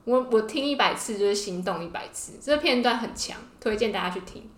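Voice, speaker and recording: A woman's voice: on the high side, animated, brisk. Gushing Taiwanese woman in a roomy space. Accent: Taiwanese Mandarin. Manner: gushing fangirl enthusiasm. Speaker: podcast co-host. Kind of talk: casual enthusiastic chat. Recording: roomy indoor echo.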